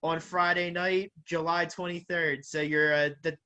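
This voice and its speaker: A man with a Philadelphia accent hosting a casual interview, speaking informally and haltingly. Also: on the high side, flat delivery, a crowd in the background. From Philadelphia, United States. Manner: halting, informal, often says like. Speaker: interviewer, podcast host.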